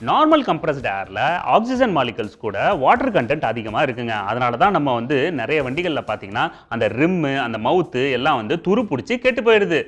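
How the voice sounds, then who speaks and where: A man's voice: medium pitch, big pitch swings, a little fast, few pauses, a bit bright. A man with a Tamil Nadu accent hosting an informational TV show, explaining things conversationally and speaking directly to viewers. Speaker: TV show host. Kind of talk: informational presenting in colloquial Tamil with comic asides.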